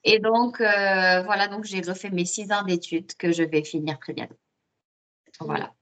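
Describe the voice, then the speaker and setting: Female interview guest from Morocco, mid-range voice, conversational, halting and repetitive while thinking. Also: mid-range voice, steady tone, a bit bright. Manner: hesitant, repeats words while thinking. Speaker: podcast guest; osteopath and student. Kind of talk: casual interview, personal storytelling.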